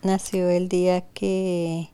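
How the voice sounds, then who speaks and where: A woman's voice: fairly low pitch, little pitch movement, takes it slowly, warm tone, clear, resonant tone, no room sound. An older woman from Colombia earnestly recounting a family story. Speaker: interviewee, narrator's mother.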